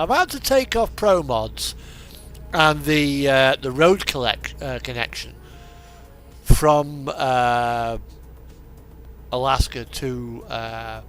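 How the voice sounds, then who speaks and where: A man's voice: fairly high pitch, fairly bright tone. A man giving casual, rambling gameplay commentary in a hesitant, meandering way over background music. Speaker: streamer hosting a truck simulator video. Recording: music playing behind the voice. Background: radio music, truck simulator game sound.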